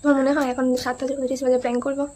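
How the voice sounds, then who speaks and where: A woman's voice: sits high, flat delivery, racing, a little mellow, slight background noise. A young woman chatting casually on a prank call, teasing and insistent, with asides to viewers. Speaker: prank caller, vlogger.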